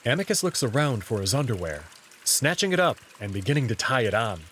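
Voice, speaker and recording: A man's voice: mid-range voice, very animated, a little fast, fairly bright tone, slight background noise. A man reading first-person story narration in the role of narrator.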